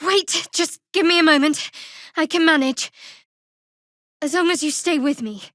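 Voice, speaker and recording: A woman playing a guarded, devout young cleric of a dark goddess in a fantasy video game, speaking in a measured, dry, slightly wry way. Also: high-pitched, dry recording. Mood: guarded, determined. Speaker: video game character voice actor. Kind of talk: scripted fantasy game dialogue.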